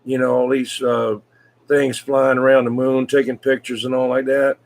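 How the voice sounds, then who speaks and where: A man in casual CB radio chat, talking in a folksy, rambling, hesitant way with frequent pauses. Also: medium pitch, level tone. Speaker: CB radio hobbyist, video maker.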